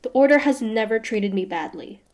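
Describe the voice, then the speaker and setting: A woman's voice: a little high, lively intonation, moderate pace, a little mellow, lightly acted. A woman playing a proud, suspicious young female hunter in a fantasy audio roleplay, guarded and easily flustered, with a grudging tsundere streak. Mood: defiant, threatening.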